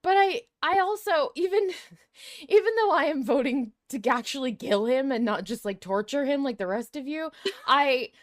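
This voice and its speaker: A woman's voice: high voice, animated, moderate pace, no room sound, clean studio sound. A woman hosting a podcast, chatting casually and rephrasing as she goes. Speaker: podcast host. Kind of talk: casual discussion of a fiction podcast.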